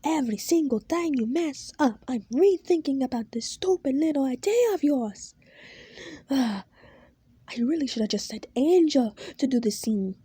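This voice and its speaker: A woman voicing a cruel, hot-tempered male boss who shouts and swears. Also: mid-range voice, expressive, slow pace, pauses often, slightly rough, dry recording. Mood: furious.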